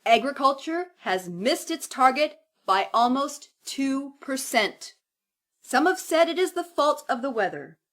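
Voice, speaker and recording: A woman's voice: high voice, sing-song, unhurried, a bit bright, full character voice. A woman playing a stern cleric who preaches solemnly and declaims to an obedient congregation in an audio drama. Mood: solemn, formal.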